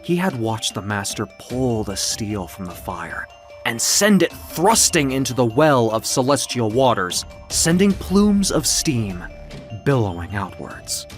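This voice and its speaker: A male storyteller with a mid-pitched, very lively delivery reads a scripted tale. His phrasing is theatrical and deliberate, and music plays behind him. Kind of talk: dramatic scripted storytelling of myth and adventure.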